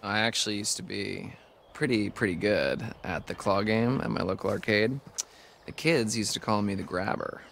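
A man's voice: fairly low pitch, moderate pace, vocal fry, a bit bright, slight background noise. An actor playing a young male camp counselor in a horror video game, joking and a little nervous.

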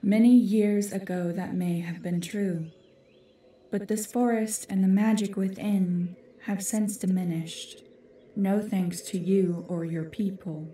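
Casting: actress for ancient female woodland guardian, fantasy audio drama, stern and formal, slow delivery, very reverberant distant sound. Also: mid-range voice, steady tone, dark tone, close to an everyday voice. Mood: bitter, weary. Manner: formal, commanding, measured.

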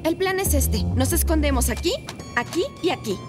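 A woman's voice: high voice, expressive, moderate pace. A woman voicing a clever, matter-of-fact young girl sorceress who explains things in an orderly way in a cartoon. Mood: assured. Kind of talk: Spanish cartoon dubbing. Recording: music bed under the voice.

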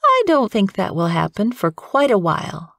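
A woman voicing a calm, caring mother badger in a storybook, patient and reassuring. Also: mid-range voice, moderate pace, vocal fry, dry recording, heavily acted. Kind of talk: storybook character voice.

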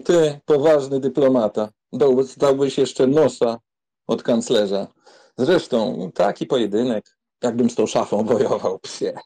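An actor playing a proud, hot-tempered young count in a dramatised audiobook, haughty and sardonic. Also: male voice, medium pitch, a little slow, warm tone, husky. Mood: mocking, irritated.

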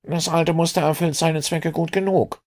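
A man voicing a gruff adult male police inspector, who speaks in a raised, dismissive way. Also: on the high side, fairly even intonation, moderate pace, voice pushed up.

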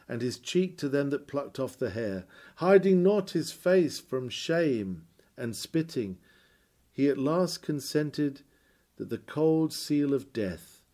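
A man reading a scripted historical sermon aloud in a measured, solemn and formal oratorical manner. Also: mid-range voice, warm tone.

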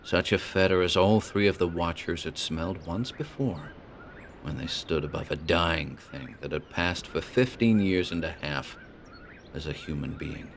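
A man narrating a horror story in a slow, measured, ominous way. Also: deep voice, a little hiss or hum.

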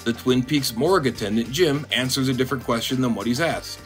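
A man narrating a scripted video essay in a dry, monotone, deadpan way. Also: mid-range voice, fairly quick, faint music behind. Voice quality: monotone delivery. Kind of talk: scripted commentary.

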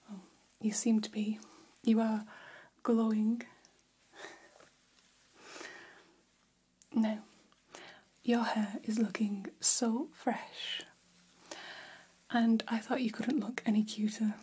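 A woman in her thirties playing a friendly, gossipy vampire hairdresser in a soft, unhurried, breathy ASMR whisper, close to the microphone. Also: on the high side, heavily acted. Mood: caring. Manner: soft, unhurried, chatty, attentive. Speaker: ASMR performer. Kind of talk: whispered ASMR salon roleplay. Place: small decorated indoor room.

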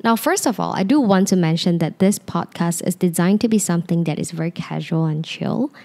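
A young woman from Malaysia with a Malaysian English accent softened by North American speech, hosting a casual solo podcast in an open, confiding way. She sits on a living room sofa and talks into a handheld microphone. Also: fairly low pitch, lively intonation, fairly quick. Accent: Malaysian English with North American influence. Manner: open, confiding, sometimes rambling. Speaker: podcast host.

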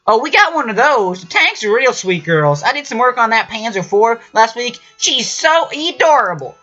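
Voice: mid-range voice, big pitch swings, normal speed, pushed and bright, faint music behind, pitched above the performer's own voice. A narrator voicing a folksy young female mechanic in a comedic story, drawling and gushing. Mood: cheerful, folksy. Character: friendly young female mechanic with foreign accent. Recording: dull lo-fi audio.

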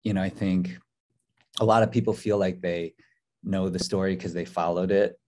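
A man's voice: sits low, steady tone, normal speed, darkish tone. A man in his forties, a documentary co-director, speaking thoughtfully on a video call from a hotel room, with many fillers and restarts. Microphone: video call webcam.

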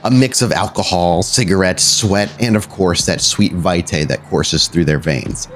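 A man's voice: deep voice, measured pace, fairly bright tone, slight background noise. A man running a vampire tabletop game as storyteller, improvising wordy, descriptive narration and correcting himself as he goes.

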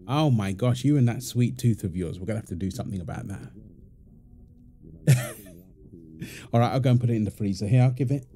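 Doting young dad, very mellow man acting. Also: mid-range voice, fairly quick, pauses often, a little hiss or hum, natural voice. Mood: playful, affectionate. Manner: affectionate, teasing, chuckling. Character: doting, playful young husband and proud father. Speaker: audio roleplay performer. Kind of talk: wholesome ASMR-style audio roleplay.

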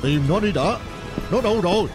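A man's voice: sits high, expressive, fairly quick, music in the background. A man voicing a scheming, bumbling henchman villain in a children's cartoon, with sly, exaggerated delivery. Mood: eager.

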